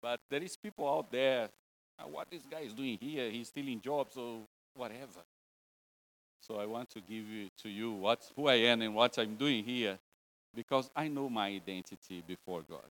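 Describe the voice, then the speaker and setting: A man's voice: medium pitch, normal speed, fairly bright tone, low-quality recording. A man from Brazil, preaching an informal sermon in English with a Brazilian Portuguese accent, broken phrasing and a joking, self-deprecating manner. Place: church.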